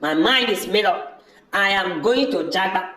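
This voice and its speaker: A man's voice: sits high, lively intonation, measured pace, bright, forceful tone, full character voice. A man with a Nigerian accent playing a stubborn, fed-up village brother in an amateur audio drama, dismissive and insistently repeating himself. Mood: defiant. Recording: echoey room.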